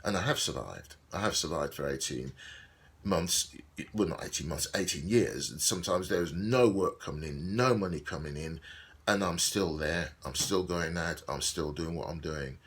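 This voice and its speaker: A man, an actor, talking through his thoughts in a video diary, with a slightly croaky voice and a musing, repetitive way of speaking. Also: deep voice, expressive, moderate pace, fairly bright tone, a little hiss or hum. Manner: musing, repeats himself, digresses. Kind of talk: reflective casual monologue.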